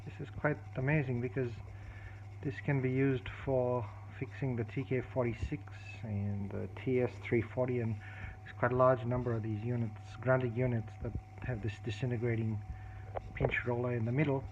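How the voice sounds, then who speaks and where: A man casually demonstrating a tape recorder repair at his workbench, explaining step by step in a halting way, with many fillers and restarts. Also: medium pitch, steady tone. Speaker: hobbyist repairer. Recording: dull, lo-fi audio with clear background noise and clicks.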